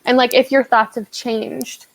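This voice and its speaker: A woman's voice: on the high side, flat delivery, measured pace, a little hiss or hum, poor audio. A woman co-hosting a book discussion, talking in a casual conversational style. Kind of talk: casual chat.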